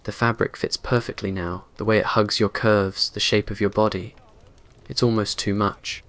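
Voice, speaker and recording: A man's voice: on the low side, steady tone, moderate pace, fairly bright tone. A man reading scripted dramatic narration for a romantic ASMR roleplay in an earnest, brooding way. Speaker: story narrator.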